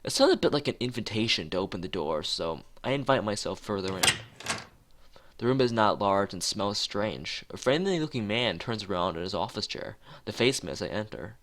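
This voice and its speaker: A streamer reading a visual novel aloud in a halting way, stumbling and going back over phrases, with asides to chat. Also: deep voice, lively intonation, a bit bright, slight background noise. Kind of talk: live read-aloud narration with chat asides. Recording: music playing behind the voice.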